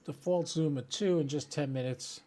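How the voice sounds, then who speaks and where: Casual man with a slightly higher-pitched voice. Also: normal speed, warm tone. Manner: informal, thinking aloud while working at a computer. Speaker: host of a ham radio channel. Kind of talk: casual live demonstration, talking to viewers.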